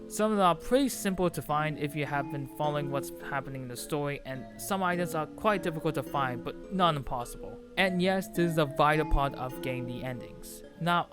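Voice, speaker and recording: A man reading a scripted video essay in an informal, opinionated way, with casual asides. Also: fairly high pitch. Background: background music.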